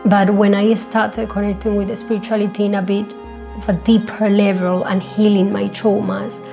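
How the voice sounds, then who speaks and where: A woman's voice: medium pitch, flat delivery, normal speed, gravelly, music in the background. A native Spanish-speaking woman with a Spanish accent, hosting a solo podcast and talking about herself in a reflective, earnest way. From born in Colombia, grew up in Spain. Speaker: podcast host. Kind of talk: personal solo podcast monologue.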